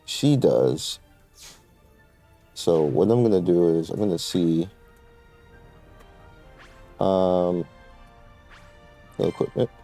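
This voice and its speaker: A man's voice: deep voice, expressive, slow pace, long pauses, warm tone, faint music behind. A man giving casual, informal gameplay commentary, rambling through his explanations in slangy language as he guides viewers. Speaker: gamer presenting a game guide.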